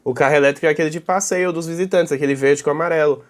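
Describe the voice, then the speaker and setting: A man's voice: on the high side, fairly even intonation, rapid-fire. A Brazilian man giving casual, off-the-cuff reaction commentary in an informal, slangy way. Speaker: reaction video host.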